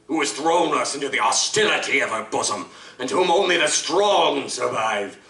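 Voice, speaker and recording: Savage, gloating male fiend for radio-style drama: gravelly, grandiloquent menace, forceful edge, recorded in a roomy live space. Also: mid-range voice. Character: savage, articulate monstrous male killer, gloating and contemptuous. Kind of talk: live audio drama acting. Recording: roomy live stage, distant mic.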